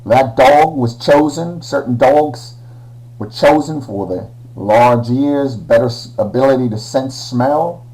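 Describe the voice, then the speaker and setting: A man's voice: mid-range voice, slow pace, warm tone, poor audio. A man presenting a playful science lesson in a put-on Australian accent, full of slang. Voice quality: slangy Aussie put-on, drawling. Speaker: educational presenter.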